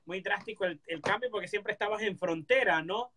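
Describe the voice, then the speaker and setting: A male host with a high, bright voice and a halting delivery, often starting words over. A faint hiss of noise sits under him. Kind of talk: live-stream interview.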